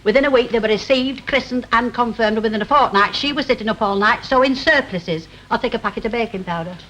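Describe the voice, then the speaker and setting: A woman's voice: fairly high pitch, quick pace, fairly bright tone, natural voice. An actress playing a bossy, nosy older woman neighbour with a Lancashire accent and a brusque, gossipy delivery, in 1960s television soap style. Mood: brusque, prying. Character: blunt, nosy, bossy older female neighbour and hall caretaker. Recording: old noisy 1960s television soundtrack.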